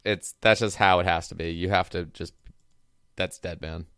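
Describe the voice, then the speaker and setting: A man's voice: sits low, steady tone, normal speed, fairly bright tone, clear, resonant tone, dry recording, clean studio sound. A man in his twenties in casual chat, blunt and swearing freely. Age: 20s.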